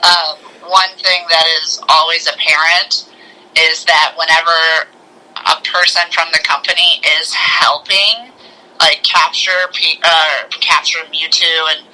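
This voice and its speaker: Bright, forceful higher-voiced man chatting. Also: a little slow, poor audio. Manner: animated retelling, mimics characters' lines in passing. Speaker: podcast co-host. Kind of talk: casual movie review chat.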